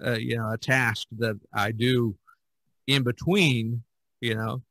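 Bright-voiced man chatting on a podcast. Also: mid-range voice, steady tone, slow pace, no room sound. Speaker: podcast host and artist. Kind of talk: casual podcast discussion.

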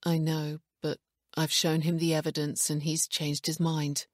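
A woman voicing a troubled, grave husband in an audiobook, speaking in a subdued, flat way. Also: deep voice, a little slow, no echo, clean studio sound. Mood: somber, firm. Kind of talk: audiobook character dialogue.

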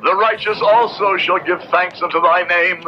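Male actor as fanatical Puritan judge, high voice, pompous archaic preaching, radio drama, noisy vintage recording. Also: fairly even intonation, moderate pace, highs cut off, natural voice. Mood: solemn prayer. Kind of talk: old-time radio drama acting.

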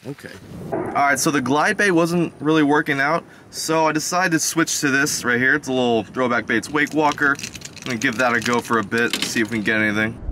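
A young adult man chatting casually to viewers in a slangy, excitable outdoor vlog style. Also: medium pitch, a little fast. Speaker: fishing vlogger. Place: outdoors beside a pond. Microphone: chest-mounted action camera or camera close in front. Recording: outdoor ambient noise on action camera.

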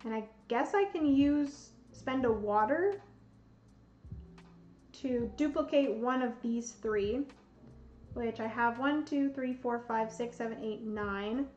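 A young woman, losing her voice, slowly talks herself through a solo board game at home, counting out pieces as she plays. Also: fairly high pitch, slight background noise. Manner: slow, thinking aloud, counting pieces. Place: home room with bookshelves, indoors. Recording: roomy, slightly dull sound, soft music.

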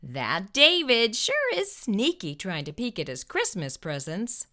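Animated woman reading to young children. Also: medium pitch, a little slow. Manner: expressive, asks the listener questions, exaggerated emphasis. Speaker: children's storytime host. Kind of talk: read-aloud to young children. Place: small furnished room indoors. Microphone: lapel microphone.

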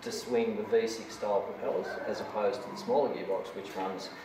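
A middle-aged mechanic explaining an outboard engine on camera, hesitant with many ums and technical detail. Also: male voice, lowish voice, fairly even intonation, brisk. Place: indoor workshop beside a boat. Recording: echoey workshop, distant mic, noisy.

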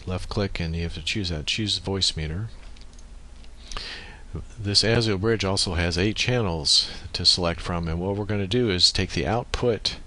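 A man giving a step-by-step software tutorial as screencast narration, speaking into a USB microphone. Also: sits low.

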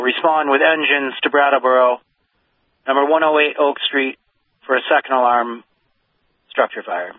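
A male fire dispatcher makes formal radio announcements in a measured way, repeating himself. His voice sits at an ordinary pitch, and the sound is narrow and dry, heard through a radio. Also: dry recording. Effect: two-way radio. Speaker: fire mutual aid dispatcher. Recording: dull, band-limited radio dispatch audio.

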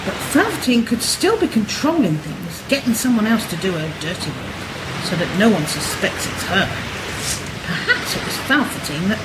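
A woman's voice: mid-range voice, sing-song, normal speed, very noisy, close to an everyday voice. A woman playing a blunt, sceptical sleuth's sidekick in an amateur radio play, exclaiming. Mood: practical.

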